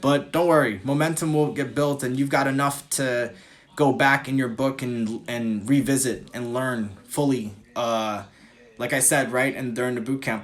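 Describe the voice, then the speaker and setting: A man's voice: medium pitch, a bit bright. Swaggering man on a casual livestream. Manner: loose and swaggering, swears and laughs often. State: coughing. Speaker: dating coach hosting a live Q&A. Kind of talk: casual livestream chat reading viewer comments.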